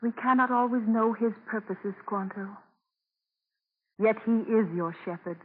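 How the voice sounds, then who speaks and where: An actress playing a gentle, devout mistress who offers tender, measured reassurance in a 1940s radio drama. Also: female voice, a little high. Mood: devout consoling. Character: gentle, devout English mistress, consoling and sure. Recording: muffled, narrow-band 1940s radio broadcast.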